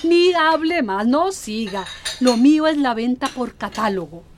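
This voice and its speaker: A woman's voice: sits high, lively intonation, measured pace, background noise. An actress playing a proud, stubborn middle-aged mother in a radio soap, with an emphatic, dismissive way of drawling key words. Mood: firmly refusing. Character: proud, stubborn, ambitious mother set on modern city ways. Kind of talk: radio soap opera acting.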